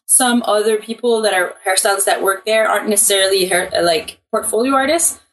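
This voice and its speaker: Casting: woman, French Canadian accent, native French speaker, mid-pitched, informal conversational interviewee. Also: brisk, fairly bright tone, poor audio. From New Brunswick, Canada. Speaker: interview guest, hairstylist. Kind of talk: casual, anecdotal interview chat.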